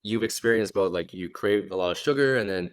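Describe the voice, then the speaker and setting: A man's voice: fairly low pitch, brisk, clean tone, dry recording, clean studio sound. A young adult man from Hong Kong, a fitness coach guest talking casually on a podcast and often checking in with "right?".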